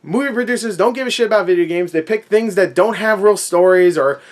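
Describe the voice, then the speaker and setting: A man's voice: high voice, fairly quick. A man giving a casual, opinionated rant in a sarcastic, sweary, conversational way. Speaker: movie review vlogger.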